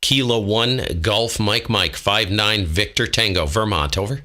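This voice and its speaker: Bright-voiced American man, low pitch. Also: a little slow. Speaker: amateur radio operator, video host. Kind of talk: casual ham radio contest contacts and side comments.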